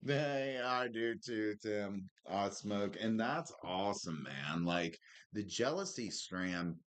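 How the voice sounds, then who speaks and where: Very deep-voiced man chatting casually. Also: animated, slow, fairly bright tone. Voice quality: deep smoker's voice. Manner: slangy, laid-back, peppered with "man" and "dude". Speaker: podcast co-host. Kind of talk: casual chat.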